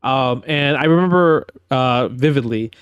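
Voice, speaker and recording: A man with a medium-pitched voice, recorded dry and close, shares personal stories in casual podcast chat. He is enthusiastic and tends to repeat phrases as he goes. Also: measured pace, clean tone, dry recording, dull audio. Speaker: podcast host.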